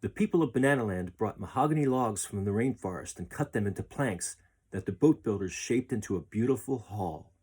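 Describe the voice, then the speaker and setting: Male storyteller, husky tone, fairly low pitch, expressive deliberate phrasing, children's picture book narration.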